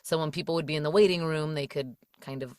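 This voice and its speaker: A woman's voice: sits low, fast talker, darkish tone, dry recording, studio quality. A woman in her thirties from the Washington DC area, chatting casually as a podcast guest, with lots of fillers and frequent laughter. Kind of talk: casual interview chat.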